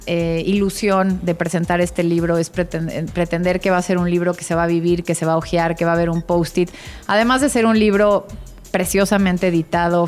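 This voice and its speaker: A Mexican woman with a fairly low voice answers interview questions conversationally. She sounds reflective and enthusiastic about her work as an interior designer. Faint music plays behind her. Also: a little mellow. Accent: Mexican Spanish.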